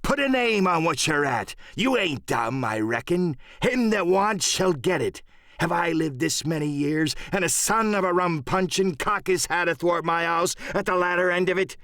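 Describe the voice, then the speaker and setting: Crafty old pirate, blustery threats, voice swinging all over, dry close audiobook sound. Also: male voice, high voice, lively intonation, moderate pace, a bit bright, no room sound, pitched above the performer's own voice. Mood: roaring, menacing. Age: older. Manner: blustering, threatening, colourful sailor talk. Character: menacing, cunning one-legged old pirate sea cook.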